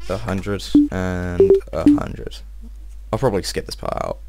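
A young man giving casual, excitable let's-play commentary, swearing and talking to himself, his voice a little strained. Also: low voice, unhurried, heavy background noise. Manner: excitable, swears often, talks to himself while playing. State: slightly strained voice. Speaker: gameplay video host.

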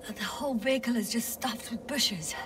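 Actress as hushed, earnest woman space scientist, noisy recording. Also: fairly high pitch, normal speed, recorded from a distance, natural voice. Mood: focused. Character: thoughtful, worried woman space explorer and biologist, adult. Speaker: crew scientist. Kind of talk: dramatic game voice acting.

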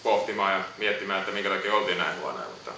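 A basketball player answering postgame questions, hesitant and repeating words. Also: male voice, low voice, expressive, sharp tone, a little hiss or hum. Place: echoey press room. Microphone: distant room mic. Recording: echoey room audio from far off.

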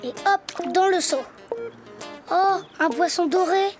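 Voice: very high voice, slow, over music. A performer voicing a cheerful, curious little donkey boy in a preschool cartoon, with simple, wondering childlike phrasing. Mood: curious, delighted.

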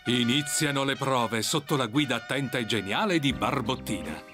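A man narrating a children's cartoon story in a scripted, expressive storytelling style. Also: mid-range voice, normal speed. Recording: music behind the voice.

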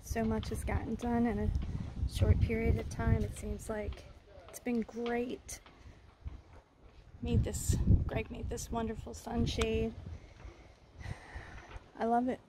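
A bright, high-voiced woman in her forties chats eagerly about boat work. She talks into a phone held at arm's length, and the sound is a little distant. Steady background noise runs under her voice. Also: takes it slowly, long pauses. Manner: chatty, upbeat and enthusiastic. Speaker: sailing vlog host. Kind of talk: casual chat. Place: outdoors at a boatyard, then inside a car. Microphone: phone camera held at arm's length.

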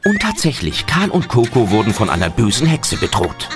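A man's voice: mid-range voice, wildly swooping pitch, moderate pace. A man narrating a fantasy radio play for children in a scripted storytelling style. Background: loud music.

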